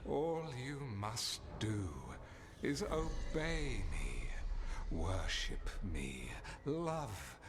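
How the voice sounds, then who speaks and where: An ancient god of a fantasy video game, imperious and seductive. He draws out every command with slow grandeur, his pitch swinging widely. Clear noise sits behind the voice. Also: big pitch swings, full character voice.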